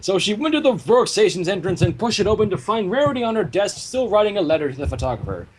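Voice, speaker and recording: A man reading fan fiction aloud as the narrator, in an animated storytelling style. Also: high-pitched, quick pace, a little hiss or hum, poor audio.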